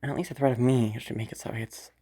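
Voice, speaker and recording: A woman's voice: rumbling low, fairly even intonation, fast, dark tone, husky. A woman voicing a cold, calculating rich sociopath who mutters her schemes to herself with dry laughs, frequent whispers and swearing. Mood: brooding. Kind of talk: audio roleplay monologue.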